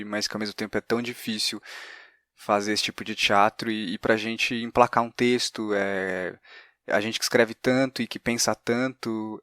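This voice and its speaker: A man's voice: on the low side, fairly bright tone, no echo, clean studio sound. A Brazilian man hosting a casual interview, tentatively rephrasing his questions as he goes. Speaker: podcast host and fellow playwright.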